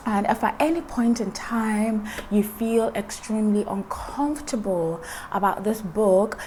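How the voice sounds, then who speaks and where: A woman's voice: mid-range voice, dark tone, slight background noise. Earnest young woman telling a personal story. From Ireland. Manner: earnest, many fillers and restarts. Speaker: vlogger.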